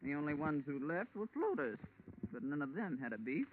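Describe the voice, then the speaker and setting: Brassy older carny boss lady, sharp slangy voice, animated, old radio play, tinny and noisy. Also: low voice, fairly quick. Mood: weary, sardonic. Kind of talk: 1940s radio drama acting.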